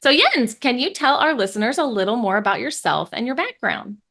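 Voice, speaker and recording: A woman's voice: fairly high pitch, very animated, brisk, bright, forceful tone. A woman hosting a podcast interview, upbeat and chatty, laughing easily. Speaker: podcast host.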